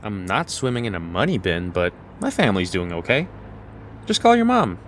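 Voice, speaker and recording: Man acting friendly young guy, lower pitch, swooping intonation, frank, audio drama, slight background noise. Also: sing-song, moderate pace, fairly bright tone. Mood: friendly, earnest.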